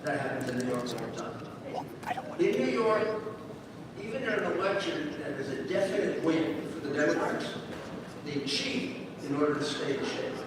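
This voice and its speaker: A man's voice: fairly high pitch, lively intonation, moderate pace. An older man telling a rambling story as a guest speaker, full of restarts and asides. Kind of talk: anecdotal storytelling. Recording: echoey hall from far off, background noise.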